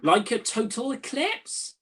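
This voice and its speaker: Bored sentry in a spoof radio play acted: husky, very sing-song delivery, blunt matey mockery. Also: medium pitch, very animated, slow pace, fairly bright tone, full character voice. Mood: mocking. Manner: dry, mocking, matey banter. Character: bored, teasing, blunt Trojan soldier on night watch. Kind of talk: comic radio play dialogue.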